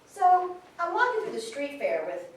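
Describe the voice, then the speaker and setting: Actress as animated high-voiced sister, roomy stage. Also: female voice, moderate pace, full character voice. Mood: reflective, fond. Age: 20s. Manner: animated, informal storytelling. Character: twenty-eight-year-old sister fondly recalling her brother. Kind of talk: conversational monologue in a staged reading. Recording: very echoey room, distant mic, noisy.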